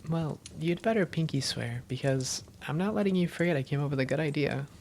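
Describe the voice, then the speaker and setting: Woman playing a low, creaky-voiced vampire girlfriend. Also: brisk, darkish tone, a little hiss or hum. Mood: playful, tender.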